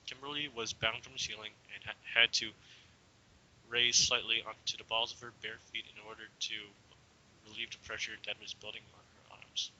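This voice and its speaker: A man's voice: lowish voice, level tone, normal speed, sharp tone, slight background noise. A young man giving an amateur dramatic reading of a story, stumbling now and then and slipping into casual asides. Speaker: reader. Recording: dull lo-fi home recording.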